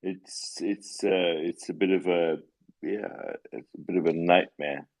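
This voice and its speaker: A man with a lowish voice hosts a live online audio chat at a slow, unhurried pace. He stumbles through restarts and fillers, sounding apologetic with a wry edge. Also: steady tone. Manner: halting, many restarts and fillers. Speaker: AMA host. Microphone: online audio call.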